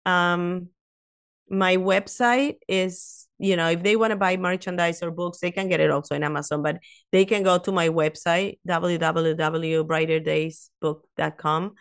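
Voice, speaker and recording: Animated woman in her fifties, non-native English. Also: fairly low pitch, a little slow, clear, resonant tone. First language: not English. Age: 50s. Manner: animated storyteller, frequent restarts and "you know". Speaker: podcast guest, author. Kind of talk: casual interview chat.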